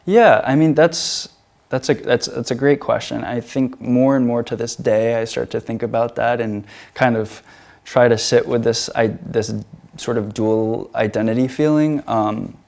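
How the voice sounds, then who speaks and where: A man's voice: medium pitch, steady tone, measured pace, vocal fry, darkish tone. A young man from the United States, a featured artist answering interview questions in a hesitant way, with many fillers and restarts.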